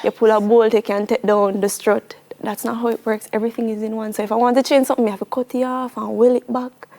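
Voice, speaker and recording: Young Jamaican woman, higher-pitched voice. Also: flat delivery, fast talker, warm tone. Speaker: interview guest, young mechanic. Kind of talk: casual TV interview.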